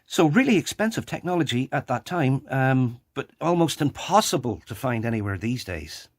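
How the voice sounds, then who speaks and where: A man giving a casual, unscripted hands-on demonstration into a phone, explaining and repeating key points. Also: mid-range voice. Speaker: video presenter showing a radio collection. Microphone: handheld phone.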